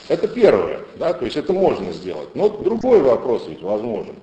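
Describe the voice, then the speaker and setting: A man's voice: medium pitch, mellow. A man giving an unscripted academic lecture on language and thought, thinking aloud with restarts and emphatic rises. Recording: roomy echo.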